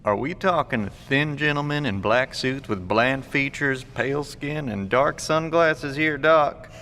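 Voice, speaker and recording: Man acting gravelly, folksy survivalist, echoing. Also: medium pitch, unhurried, sharp tone, a little hiss or hum. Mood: suspicious, candid. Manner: folksy, colloquial, plain-spoken. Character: folksy male survivalist, suspicious of authority, blunt and friendly. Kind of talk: audio drama acting. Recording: long echoey reverb of an empty hall.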